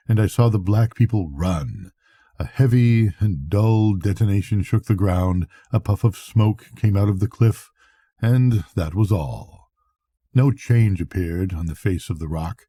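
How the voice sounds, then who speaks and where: An older man reading a literary bedtime story in measured phrases with pauses. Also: fairly low pitch, dark tone, breathy edge, dry recording. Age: older, a grandfather. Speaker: audiobook reader.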